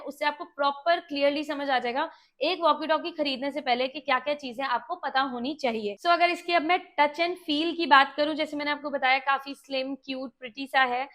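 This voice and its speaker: A woman's voice: high-pitched, a bit bright. A woman with an Indian accent giving a casual hands-on gadget review, explaining things to viewers and mixing in English phrases. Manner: mixes Hindi with English words and phrases. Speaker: product reviewer.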